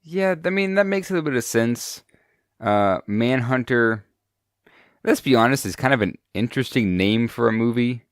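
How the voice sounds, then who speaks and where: A man's voice: mid-range voice, expressive, moderate pace, dry recording, clean studio sound. A man co-hosting a podcast in casual chat while sick with a cold, speaking loosely with many fillers. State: sick with a cold, voice deeper than usual. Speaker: podcast co-host.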